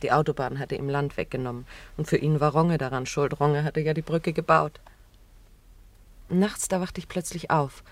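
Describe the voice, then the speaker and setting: An actress playing a grief-stricken, self-blaming widow in a German radio play, with a brooding, hesitant, confessional manner. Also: female voice, deep voice, fairly even intonation, normal speed, dry recording, natural voice. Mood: anguished, confessing.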